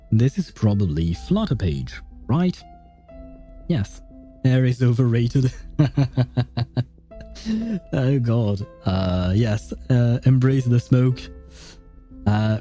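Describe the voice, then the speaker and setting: Dark, mellow-voiced man rambling on stream. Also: mid-range voice. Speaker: game streamer. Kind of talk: casual livestream commentary.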